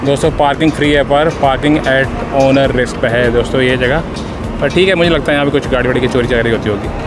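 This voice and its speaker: Fast-talking man giving a restaurant tour. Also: medium pitch, music in the background, poor audio. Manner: chatty, addresses viewers as friends, explains prices. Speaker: travel vlogger. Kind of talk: casual walking tour vlog. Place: outdoor parking lot and airplane restaurant interior. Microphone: handheld phone camera, selfie framing.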